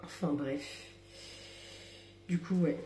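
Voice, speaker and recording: Young French woman, very dark mellow tone, wry humor, frequent laughs and whispers. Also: low-pitched, takes it slowly, echoey room, slight background noise. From metropolitan France. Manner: ironic, laughs often, drops into whispered asides. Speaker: social media creator. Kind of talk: casual monologue to camera.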